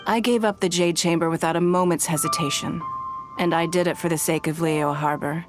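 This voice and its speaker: A woman voices a wealthy, composed lady of high standing in a game scene. Her voice sits fairly low for a woman and her phrasing is formal and measured. She carries a quiet grief beneath her poise, with soft music playing behind her. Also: steady tone, lightly acted. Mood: moved, reflective. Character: composed, wealthy noblewoman leader, quietly grieving, confiding. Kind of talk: scripted video game dialogue.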